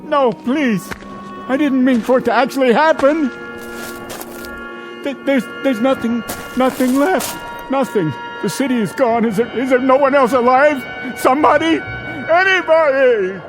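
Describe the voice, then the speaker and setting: A desperate man coming apart, his voice pitched extremely high. He stammers and pleads in overwrought, old-radio suspense style. Dramatic music runs clearly behind him. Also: unhurried, rough recording. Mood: anguished despair. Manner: overwrought, stammering pleas and outbursts. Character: unhinged, desperate wealthy man pleading as doom comes.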